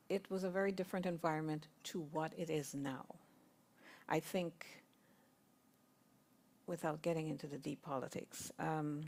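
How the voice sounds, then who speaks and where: Woman, low-set voice, measured and thoughtful, sharing in a spiritual discussion group. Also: creaky. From Guyana. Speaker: congregation member sharing. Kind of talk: reflective sharing in a discussion circle. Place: spiritual centre gathering.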